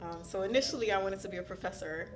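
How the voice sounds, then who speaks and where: A young woman from Haiti, raised in South Florida, a podcast guest telling animated stories about growing up, with frequent "um"s and laughter. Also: medium pitch, fast talker, over music. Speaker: guest, school counselor. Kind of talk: casual interview storytelling.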